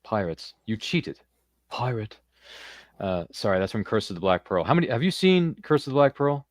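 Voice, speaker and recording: A man's voice: fairly low pitch, expressive, normal speed, no room sound, clean studio sound. A man hosting a casual chat show, talking in an informal, buddy-like way. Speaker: podcast host. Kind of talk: casual interview chat, reading out viewer comments.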